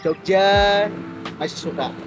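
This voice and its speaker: A man in his twenties with an East Javanese Indonesian accent, answering interview questions casually and haltingly as he searches for words. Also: high-pitched, over music. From Probolinggo, East Java, Indonesia. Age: 20s.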